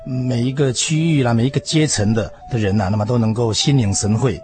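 A man, a preacher explaining haltingly, phrase by phrase, as an interview guest. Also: mid-range voice, over music. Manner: halting, phrase-by-phrase with trailing particles. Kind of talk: explanatory religious talk.

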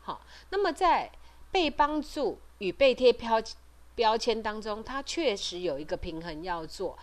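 A middle-aged woman with a Taiwanese Mandarin accent giving informal advice as a host, explaining things hesitantly with frequent pauses and trailing particles. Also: fairly high pitch, animated. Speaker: podcast host and parent advocate. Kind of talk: informal advisory talk.

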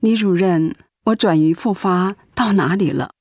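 A woman's voice: fairly low pitch, a little slow, no echo, studio quality. Weary, devout middle-aged mother. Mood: concerned. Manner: calm, accepting. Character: weary, devout pastor's wife, stoic ailing mother. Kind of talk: Mandarin radio drama acting.

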